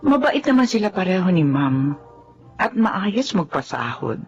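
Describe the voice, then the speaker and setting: An actress playing Daleng, a widowed middle-aged housemaid who is loyal and patient, in a radio drama. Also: female voice, on the low side, sing-song, a little slow, lo-fi sound, soft background music. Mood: reflective.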